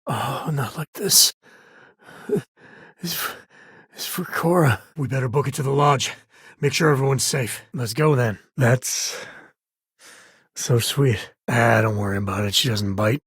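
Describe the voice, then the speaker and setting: An actor playing an easygoing, wry space cowboy and single father in a video game, husky and rough-edged with a folksy, conversational manner. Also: mid-range voice, a little slow, pauses often, a bit bright, no room sound, clean studio sound. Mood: worried, tense. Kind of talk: video game character dialogue.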